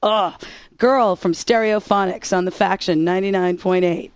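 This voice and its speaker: A woman's voice: mid-range voice, steady tone, moderate pace, no room sound, natural voice. An actress playing a wry, upbeat alternative-rock radio DJ woman in a video game, introducing songs with sarcastic patter. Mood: playful, wry.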